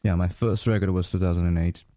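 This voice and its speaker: A man from Greece, a music producer guest, speaking haltingly and searching for his words. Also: low voice, fairly even intonation, dark tone. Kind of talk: interview conversation.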